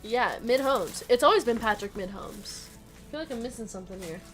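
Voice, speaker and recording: Woman, fairly high voice, chatty card break host, laughs often, music playing behind. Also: lively intonation, a little fast, a bit bright. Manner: chatty, laughs often, reads out players and numbers. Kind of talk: live card break, casual chat.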